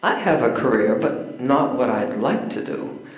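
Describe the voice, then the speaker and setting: Older guy reading independent schoolteacher woman in an old-time play, frank and measured, echoey room. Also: mid-range voice, lively intonation, highs cut off, lightly acted. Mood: reflective. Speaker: amateur readers theater performer.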